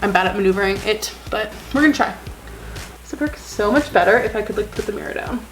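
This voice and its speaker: A woman's voice: mid-range voice, fast talker, poor audio. A woman vlogging at home in a basement, rambling and self-deprecating with lots of "like", a bit sniffly, with faint music behind. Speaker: beauty vlogger. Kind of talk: casual self-deprecating chat to camera. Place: basement room at home, indoors. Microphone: camera on a desk with ring light.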